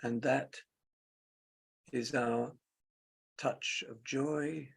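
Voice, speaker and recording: Male meditation teacher, very creaky and husky voice, leisurely delivery in short phrases with generous pauses. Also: medium pitch, fairly even intonation. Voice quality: gravelly, husky. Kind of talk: guided meditation, spoken slowly and softly.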